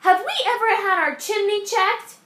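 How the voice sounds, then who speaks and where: A woman's voice: normal speed, a bit bright. A woman voicing an anxious young schoolgirl who frets and sounds alarmed, in a storybook read-aloud. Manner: high, alarmed and fretful. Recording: echoey room.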